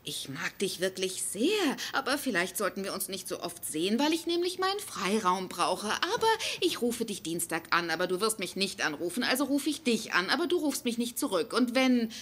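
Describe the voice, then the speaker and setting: Eine Schauspielerin spielt eine emotionale, anhängliche, schnell verletzte junge Freundin in einer synchronisierten Sitcom. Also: female voice, mid-range voice, big pitch swings, moderate pace, few pauses, a bit bright, husky. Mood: wounded, dramatic. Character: emotional, clingy, easily hurt young girlfriend. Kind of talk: dubbed sitcom acting.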